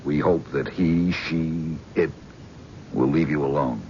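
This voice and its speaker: An actor playing a calm, probing male psychiatrist who speaks in a measured, frank way. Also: a bass voice, slight background noise. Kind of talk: 1970s radio drama acting. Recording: dull vintage radio broadcast.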